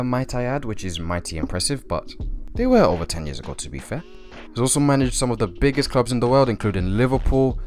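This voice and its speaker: Young male host, lowish voice, casual opinionated countdown read, soft music bed underneath. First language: English. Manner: opinionated, chatty asides. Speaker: football video host. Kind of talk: scripted countdown commentary.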